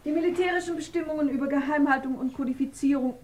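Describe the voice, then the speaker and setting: An actress playing a prim, officious secretary at a military station in a radio play, formal and dutiful. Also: female voice, high-pitched, fast talker, darkish tone, slight background noise, close to an everyday voice. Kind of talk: German radio play acting.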